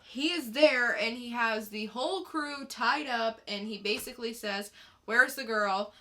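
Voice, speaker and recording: A woman with a bright, crisp-edged tone speaks at a slow pace. She co-hosts a podcast and delivers her recaps and opinions casually, in plain, informal words. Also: fairly high pitch. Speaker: podcast co-host reviewing comics. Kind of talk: casual plot recap and review.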